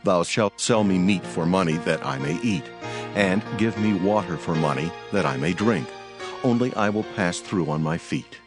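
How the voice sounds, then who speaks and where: An actor playing a calm messenger with a plea for peace, formal and restrained, in a dramatized scripture reading. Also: male voice, deep voice, moderate pace, lightly acted. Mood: calm, petitioning. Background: music bed.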